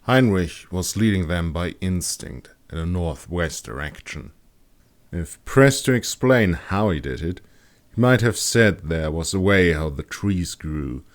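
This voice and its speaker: Male narrator, low pitch, scripted fantasy audiobook, author reading own work.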